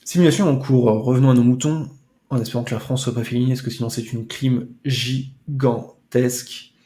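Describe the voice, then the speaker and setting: A man chatting casually over a retro football game stream, full of playful, mock-dramatic asides. His voice is mellow and soft-edged. The room around him rings with echo. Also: medium pitch, steady tone, moderate pace. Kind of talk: casual let's-play chat.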